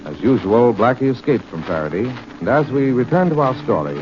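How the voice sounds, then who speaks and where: Music plays clearly behind a man acting as an earnest old-time radio announcer. His mid-pitched male voice narrates with dramatic, sweeping intonation in a muffled 1940s broadcast. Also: very animated, fairly quick, natural voice. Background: prominent music.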